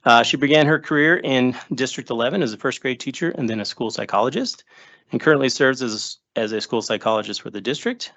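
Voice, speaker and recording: A man's voice: medium pitch, brisk. This is a man speaking into a table microphone at a school board meeting. He reads out new staff backgrounds in a chatty, easy way and adds little jokes. The audio is dull and lo-fi. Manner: joking asides, chatty and relaxed. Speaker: school district administrator introducing new hires. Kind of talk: informal remarks at a public board meeting.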